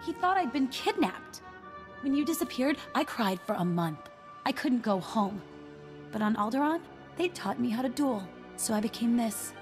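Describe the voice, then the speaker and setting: Woman acting a high, bitter young bounty hunter. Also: animated, normal speed, music in the background, natural voice. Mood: hurt, bitter. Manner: intense, accusing, resolute. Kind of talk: video game voice acting.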